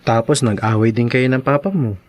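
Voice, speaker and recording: An actor plays an earnest young boyfriend with a Filipino accent. He speaks in a medium-pitched voice, shy and halting, in radio drama style. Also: natural voice. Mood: caring, casual. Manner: hesitant, stammering. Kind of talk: Tagalog radio drama acting.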